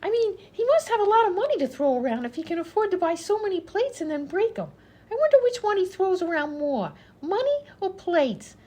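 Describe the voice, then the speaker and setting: A high-pitched, rambling dim-witted vaudeville chatterbox. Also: female voice, big pitch swings, brisk, mellow. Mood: chatty, oblivious. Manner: rambling, folksy ungrammatical speech. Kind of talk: old-time radio comedy acting.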